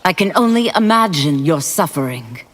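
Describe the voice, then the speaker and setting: Serious warrior woman, lively lines, long echo like a hall. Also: sits low, normal speed, slight background noise. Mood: reproachful. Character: earnest female warrior, reproachful.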